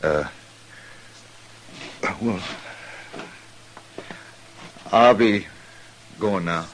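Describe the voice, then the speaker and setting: An actor playing a plain-spoken, restless American frontier drifter in a western radio drama, with folksy cowboy talk. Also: male voice, sits low, very slow, poor audio. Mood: sheepish, sincere. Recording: hissy old-time radio recording.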